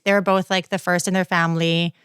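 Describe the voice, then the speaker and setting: A woman's voice: lowish voice, level tone, fast talker, a bit bright, clear, resonant tone, no echo, clean studio sound. A woman in her thirties, born in China and raised in Minnesota, telling stories as a podcast guest with a wry, playful manner. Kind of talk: casual interview chat.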